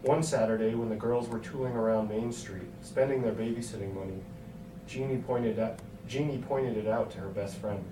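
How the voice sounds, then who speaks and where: Male story narrator, scripted read, lowish pitch, distant mic in a reverberant, noisy room. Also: fairly even intonation, moderate pace. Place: hotel room.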